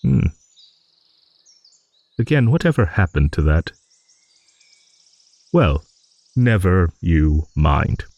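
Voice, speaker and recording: A man's voice: low-pitched, big pitch swings, slow pace, long pauses, a little mellow, dry recording, muffled recording, exaggerated voice. A man voicing an ancient, good-natured old dragon who rambles and muses in a fantasy audio roleplay. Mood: musing, fond. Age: older. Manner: rambling, musing, with hums and chuckles.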